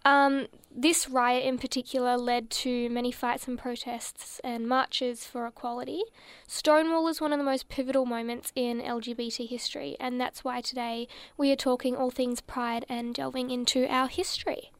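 A woman's voice: fairly high pitch, little pitch movement, moderate pace, clear, resonant tone, no echo. A nineteen-year-old woman co-hosting a community radio show, chirpy and reading from notes. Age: late teens. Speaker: radio co-host. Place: radio studio.

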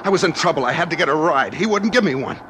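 An evasive trucker in a 1940s radio drama. His voice is bright and fairly high, full of urgent, slangy tough-guy talk, over faint recording noise. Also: animated, fast talker. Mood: desperate, urgent. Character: cornered, evasive male trucker pleading for his life.

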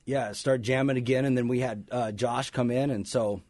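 A man's voice: medium pitch, steady tone, normal speed, darkish tone, a little hiss or hum. A man in a band, a guest on a radio show, talking enthusiastically with many restarts and fillers. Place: radio studio.

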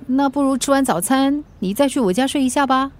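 An actress playing a caring, gently nagging friend in a radio drama, reassuring and solicitous, with a Singaporean accent. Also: female voice, sits high, brisk, close to an everyday voice. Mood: concerned, helpful.

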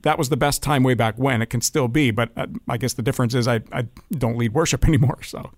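A man hosting a relaxed conversational interview in a dry, close recording. His voice sits at a middle pitch, and he talks in halting, self-repeating runs, often checking in as he goes. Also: no echo. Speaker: show host and former worship leader. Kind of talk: casual conversational interview chat.

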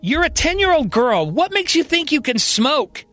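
A man's voice: extremely high pitch, animated, unhurried, sharp tone, faint music behind, pitched above the performer's own voice, full character voice. A man voicing an exasperated, scolding woman in an audio drama, emphatic and indignant.

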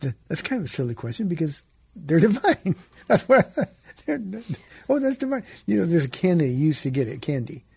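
An older man with a soft, gravelly voice and a dark, mellow tone. He chats as a pastor on a radio show, gently teaching in an easy, conversational way. His voice sounds slightly husky. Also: on the high side, big pitch swings, a little fast. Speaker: pastor, co-host.

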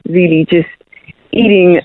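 A woman's voice: fairly low pitch, steady tone, measured pace, no room sound. Woman on a tinny phone line. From Zimbabwe. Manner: hesitant, many ums. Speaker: guest singer-songwriter. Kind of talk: casual interview.

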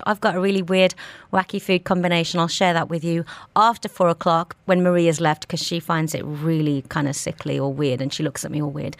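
A woman's voice: medium pitch, fairly bright tone, no room sound. A woman hosting a radio talk show, chatty and enthusiastic as she talks with her guests. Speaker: radio host. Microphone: studio microphone.

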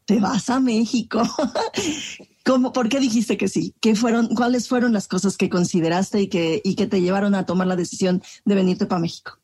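A woman's voice: mid-range voice, normal speed, darkish tone, breathy edge. A woman with a Mexican accent co-hosting a radio show, asking questions with frequent hesitations. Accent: Mexican Spanish. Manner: hesitant, many fillers while framing questions. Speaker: radio co-host.

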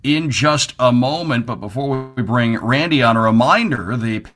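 A man's voice: medium pitch, moderate pace, fairly bright tone. A man hosting a sports radio show in an upbeat talk style.

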